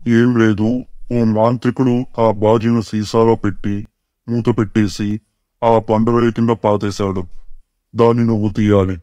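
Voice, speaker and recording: Man voicing a low, flat-toned cartoon ghost. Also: unhurried, no echo, close to an everyday voice. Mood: bargaining. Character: male ghost bargaining with a desperate farmer. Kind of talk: cartoon story dialogue.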